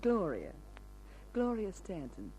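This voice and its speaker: A woman's voice: lowish voice, wildly swooping pitch, slow pace, dark tone, dull audio, soft background music, exaggerated voice. A woman acting a coy, alluring, flirtatious character in a 1950s radio crime drama, with a hesitant manner. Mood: coy, playful. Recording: noisy old radio recording with hum.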